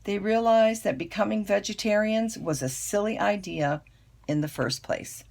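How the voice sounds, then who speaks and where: Gravelly older woman reading a picture book. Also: medium pitch, darkish tone. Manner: unhurried, dramatic storytelling emphasis. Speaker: grandmother reading aloud. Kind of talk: expressive children's picture book read-aloud.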